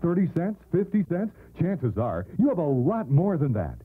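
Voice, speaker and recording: Very animated, high-pitched American ad man. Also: moderate pace, slightly rough. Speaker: commercial announcer. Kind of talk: hard-sell radio advertisement. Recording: thin narrowband AM radio recording.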